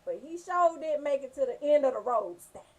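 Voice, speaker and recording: A woman with a Southern Atlanta accent hosting comedic radio banter, telling stories in an animated, emphatic way. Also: high-pitched, a little fast. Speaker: radio show host.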